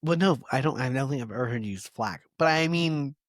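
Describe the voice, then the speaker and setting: A man's voice: mid-range voice, expressive, fairly quick, no room sound, clean studio sound. A man co-hosting a podcast in casual chat, laughing easily and reading quotes aloud as he tells a story. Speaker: podcast co-host.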